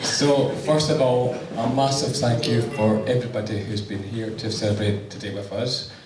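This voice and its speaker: A man with a husky voice giving an unprepared wedding thank-you speech as the groom, hesitant with many ums. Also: mid-range voice, steady tone, normal speed. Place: wedding reception hall with guests. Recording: echoey hall, distant mic, loud crowd.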